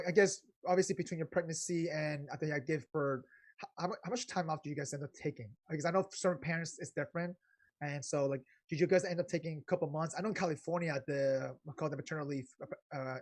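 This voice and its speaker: A man hosting a casual podcast chat, asking questions quickly and laughing often. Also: a little high. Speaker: podcast host.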